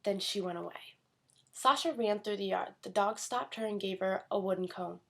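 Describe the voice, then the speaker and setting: A woman reading a children's storybook aloud in an expressive scripted narration style. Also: mid-range voice, moderate pace, gravelly. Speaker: storybook reader.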